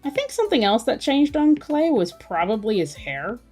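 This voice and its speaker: A woman's voice: a little high, big pitch swings, normal speed, a little mellow. A woman chatting casually as she draws on a livestream, thinking aloud in a musing way. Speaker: artist hosting a drawing livestream. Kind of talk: casual chat while drawing. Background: music.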